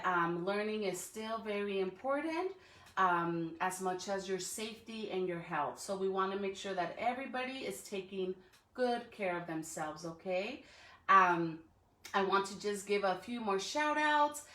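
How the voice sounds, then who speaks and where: A woman's voice: medium pitch, expressive, a little slow, fairly bright tone. A woman speaking expressively to young students as a school educator doing a storybook read-aloud. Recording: echoey room.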